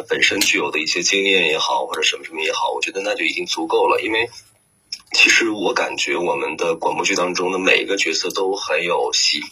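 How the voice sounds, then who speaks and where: A man with a deep voice and a sharp, forceful edge answers interview questions as a guest. His tone is very gravelly and unclean. He speaks reflectively and hesitantly, feeling his way toward each phrase. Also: poor audio. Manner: hesitant, searching for words. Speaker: voice actor, guest.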